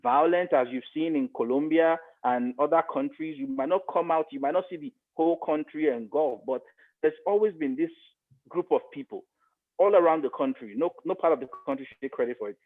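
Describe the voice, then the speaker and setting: A man's voice: fairly high pitch, brisk, phone-quality sound. A middle-aged Nigerian man giving emphatic political commentary as an interview guest, repeating phrases for stress. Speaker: interview guest, media expert.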